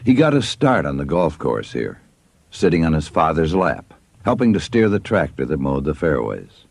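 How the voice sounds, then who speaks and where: A man's voice: very deep voice, very animated, moderate pace, creaky, a little mellow, slightly rough, no echo. A man narrating a scripted commercial as an announcer.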